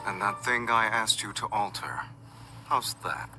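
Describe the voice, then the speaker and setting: An actor playing a cold, menacing, teasing male crime boss in a video game, unhurried and commanding. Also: fairly low pitch, animated. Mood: dry, mocking. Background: loud game music.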